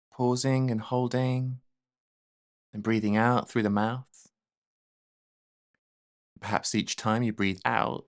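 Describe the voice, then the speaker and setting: A man leading a guided meditation in a gentle, soothing, unhurried manner. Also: fairly low pitch, dry recording, clean studio sound.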